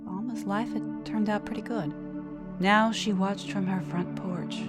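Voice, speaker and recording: A woman reading a scripted horror story as narrator, with dramatic, measured delivery. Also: fairly low pitch. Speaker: storyteller and show host.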